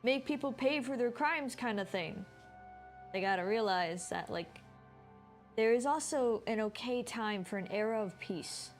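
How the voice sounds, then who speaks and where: A woman's voice: on the high side, measured pace. A woman game streamer giving informal live commentary, often mimicking what the characters are thinking. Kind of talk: casual live gameplay commentary. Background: game music.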